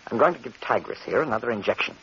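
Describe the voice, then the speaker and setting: An actor playing an arrogant, obsessive scientist husband in a 1940s American radio horror drama, emphatic, impatient and dismissive. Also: male voice, medium pitch, animated, racing, a bit bright, slightly rough. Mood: proudly excited. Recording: dull, noisy 1940s radio recording.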